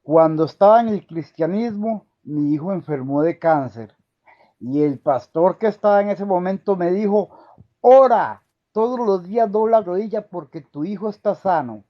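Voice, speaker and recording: A man's voice: high-pitched, lively intonation, normal speed, highs cut off. A man with a Colombian accent, raised in Costa Rica, calling in to tell his story earnestly, stammering and firing off rhetorical questions. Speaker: caller. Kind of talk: emotional call-in testimony.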